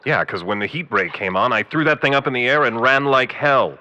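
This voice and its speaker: A man's voice: medium pitch, a little fast, sharp tone, in a large hall, natural voice. A man playing a cowardly, defensive radio field reporter in a comedic old-time radio drama, brash and emphatic.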